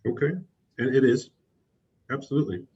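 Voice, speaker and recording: Husky man coaching a group. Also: mid-range voice, steady tone, fairly quick, darkish tone, highs cut off. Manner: encouraging, asks questions. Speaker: training session leader.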